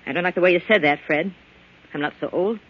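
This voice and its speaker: An actress playing a tough, possessive nightclub boss wounded in love, with a sardonic, melodramatic delivery in old-time radio style. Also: female voice, on the low side, fast, breathy edge. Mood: bitter, probing. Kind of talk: 1940s radio drama acting. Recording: dull, slightly noisy old radio recording.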